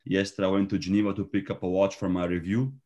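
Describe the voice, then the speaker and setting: A man with a Slovenian accent and a very low voice talks as a podcast guest. He laughs often and trips over restarts as he tells his stories. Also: little pitch movement, quick pace, mellow, dull audio. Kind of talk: casual interview chat.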